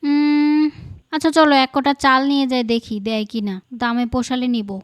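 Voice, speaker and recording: Woman as a brisk caring village mother. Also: sits high, clean tone, no echo, natural voice. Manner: brisk, scolding yet tender.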